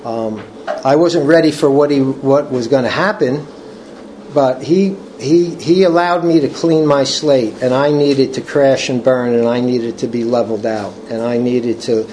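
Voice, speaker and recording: Older man, middle pitch, halting speech, many restarts, earnest, faint background hiss. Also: fairly even intonation, a little mellow, rough recording. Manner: earnest, personal testimony. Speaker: recovery study group leader. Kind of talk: casual talk reading from a book.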